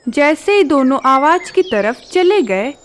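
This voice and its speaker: High-pitched woman narrating a children's story. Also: big pitch swings, unhurried.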